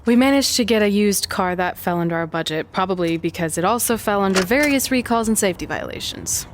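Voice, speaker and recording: An actress playing a plain-spoken, loyal young aspiring wrestler in a video game, direct and wry. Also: female voice, medium pitch, expressive, fairly quick, a little hiss or hum, close to an everyday voice. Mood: wry, practical. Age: young adult.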